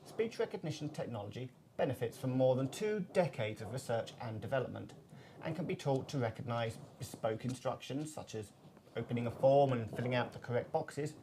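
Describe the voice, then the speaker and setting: A man's voice: medium pitch, lively intonation, a little fast, warm tone, a little hiss or hum. A man hosting a business web seminar, presenting from a prepared script.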